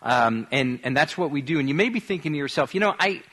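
A man's voice: fairly high pitch, expressive, a bit bright. A man speaking as a pastor, teaching informally and often repeating and rephrasing as he goes. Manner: halting, repeats and rephrases phrases. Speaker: pastor teaching his congregation. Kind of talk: informal church teaching on evangelism.

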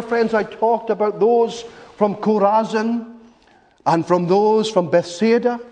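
Male preacher, high-pitched voice, very measured pace, emphatic rhetorical sermon delivery. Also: a little mellow. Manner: emphatic, rhetorical repetition with dramatic pauses. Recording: long reverberant hall echo.

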